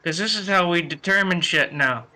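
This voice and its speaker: A man's voice: sits high, moderate pace, pushed and bright. A man on a casual panel podcast, talking bluntly and combatively with plenty of swearing. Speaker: podcast panelist explaining a tiebreaker.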